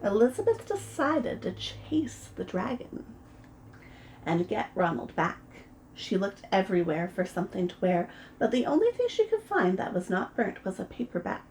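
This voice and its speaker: A woman reading a children's picture book aloud, gentle and expressive as a preschool storyteller. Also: medium pitch, moderate pace, slight background noise, low-quality recording. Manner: gentle and expressive, voices the characters.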